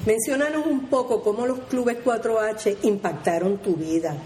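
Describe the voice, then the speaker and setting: A woman's voice: on the high side, moderate pace, a little mellow, roomy sound, slight background noise. A woman hosting an interview, friendly and turning to speak straight to the listeners. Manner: friendly, addresses audience as "amigos". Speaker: host. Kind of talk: radio interview, addressing listeners.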